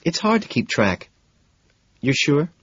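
Man voicing a soft, composed, soothing woman. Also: on the high side, very animated, slow, slightly rough, voice pushed up, full character voice. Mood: curious, amused. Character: composed, soothing, quietly amused woman, gently concerned. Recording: dull, lo-fi, roomy sound.

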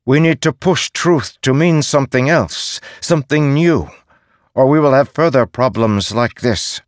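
A man's voice: mid-range voice, measured pace, dry recording, voice pushed up, lightly acted. Man voicing a stern judge-type council guy, firm and harsh, dry sound. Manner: firm, judgmental. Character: stern unyielding male council leader.

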